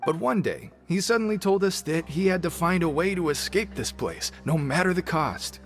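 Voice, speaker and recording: A man's voice: high-pitched, lively intonation, moderate pace, faint music behind. An actor playing a devoted prisoner who speaks matter-of-factly about his boss. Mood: loyal. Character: devoted male prisoner crew member, loyal to his boss.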